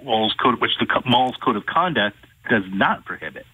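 A man, an attorney, calling in to an interview and recounting a case in a measured way. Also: medium pitch, expressive, pushed and bright, breathy edge, no echo. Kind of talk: phone-in interview. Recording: tinny phone line.

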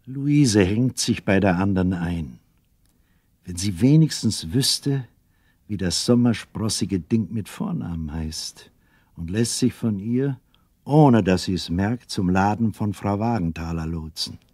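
A man narrating a children's radio play as a storyteller, in a measured, deliberate manner. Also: on the low side, expressive, a little mellow, breathy edge, dry recording. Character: calm male storyteller addressing young listeners.

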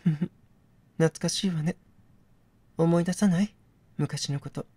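A man's voice: on the high side, fairly even intonation, measured pace, mellow, breathy edge, dry recording, pitched above the performer's own voice. A man voicing a captive actress in a game, a composed, wistful woman with coy, teasing phrasing. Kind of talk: dramatic game dialogue reading.

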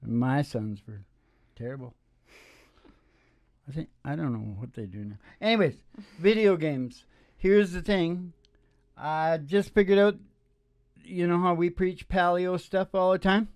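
A middle-aged man hosting with rambling, improvised storytelling in a folksy, halting way, speaking into a close stand microphone. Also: high-pitched, no echo. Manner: folksy, halting, with trailing sentences. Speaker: podcast host and singing DJ. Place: small indoor stage with curtain backdrop.

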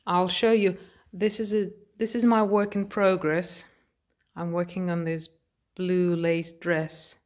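A portrait artist with a British accent walks through her home studio, naming her tools as she films on a phone. Her voice sits a little low and she speaks slowly and calmly. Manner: calm, explanatory, pointing things out. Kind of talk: guided studio tour narration. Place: home art studio, indoors.

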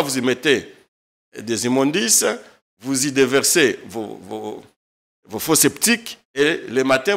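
A man's voice: mid-range voice, fairly bright tone. A man in his thirties from eastern Congo, a lawyer speaking as a studio guest, emphatic and impassioned, often repeating words. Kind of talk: studio political interview. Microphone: desk microphone.

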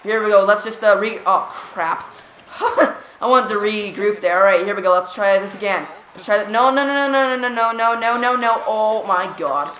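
A woman giving excited play-by-play of a hockey video game, stuttering and repeating words as she reacts. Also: mid-range voice, moderate pace. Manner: jumpy, reactive, lots of "come on" and "here we go". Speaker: gaming channel host. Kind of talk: excited live gameplay commentary. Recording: roomy echo.

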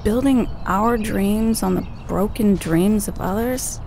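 Troubled, conscientious home buyer, mid-pitched, hesitant, gentle radio play delivery. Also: female voice, slow pace, vocal fry, a little hiss or hum. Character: hesitant, troubled house-hunting woman with conscience. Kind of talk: naturalistic radio play acting.

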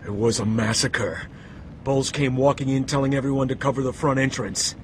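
A shaken male security guard voiced by an actor in a video game, mid-pitched and stammering as he recounts things. Faint noise sits under his voice. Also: steady tone, fairly quick, lightly acted. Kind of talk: video game cutscene acting.

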